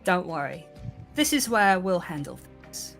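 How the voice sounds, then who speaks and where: Tabletop game role: low-voiced woman investigator acted by a player, streetwise, weary, kind underneath. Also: very animated, a little slow, creaky, a bit bright, faint music behind, full character voice. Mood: reassuring. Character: streetwise, world-weary, kindly female investigator.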